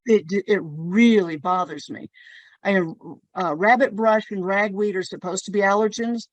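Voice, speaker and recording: A woman hosting a relaxed talk show, chatting through personal stories. Her voice sits at an ordinary middle pitch. The sound is close and dry, with little room echo. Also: normal speed. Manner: chatty storyteller, personal anecdotes. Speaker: podcast host. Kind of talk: casual conversation.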